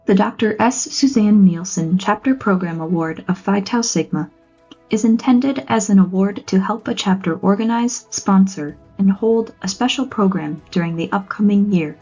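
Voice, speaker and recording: Female ceremony presenter, scripted narration, dark relaxed tone, music underneath. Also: medium pitch, normal speed, husky. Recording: muffled lo-fi audio, music behind.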